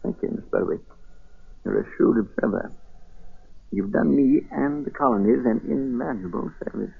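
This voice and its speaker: An actor playing a proud, spirited colonial silversmith, brisk, dignified and theatrical, in a 1940s radio drama. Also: male voice, medium pitch, huge pitch swings, gravelly, breathy edge, exaggerated voice. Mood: brisk, appreciative. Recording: muffled vintage 1940s radio broadcast.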